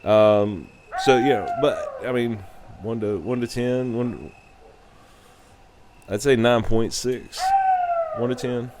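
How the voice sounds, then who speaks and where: Slow-talking man, casual and halting. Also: mid-range voice, very noisy. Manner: halting, loose, unscripted. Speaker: gamer giving a game review. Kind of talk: casual, rambling game review.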